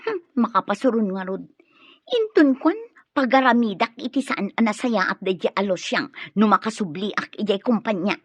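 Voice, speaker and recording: A woman's voice: medium pitch, expressive, normal speed, slightly rough, no room sound, muffled recording. A woman playing an impatient, scheming young daughter in an Ilocano radio drama, with an Ilocano accent. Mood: annoyed, impatient.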